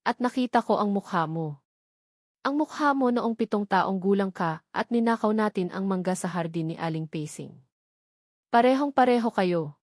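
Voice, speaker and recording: A woman's voice: mid-range voice, animated, moderate pace, darkish tone, dry recording, studio quality, lightly acted. Woman voicing grave, bitter male executive, hurt. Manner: grave, weighty, accusing. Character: hurt, bitter male executive confronting his best friend.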